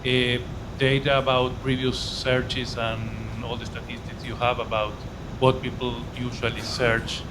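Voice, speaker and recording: Halting male audience questioner. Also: mid-range voice, little pitch movement, background noise. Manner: halting, many "uh"s. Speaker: audience member asking a question.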